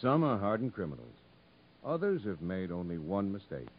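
An actor playing a grave but kindly prison captain, measured and straightforward, in a 1950s radio drama. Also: male voice, low voice, very animated, a little hiss or hum. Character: grave, frank prison captain recalling a story, kindly toward children. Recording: muffled 1950s radio recording.

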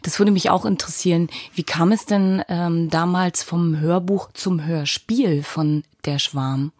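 A woman with a fairly low voice hosts an interview podcast. She is informal and playful, chatting directly to guest and listeners. The recording is very dry, made in a studio. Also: steady tone, a little slow, darkish tone, no echo. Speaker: podcast host.

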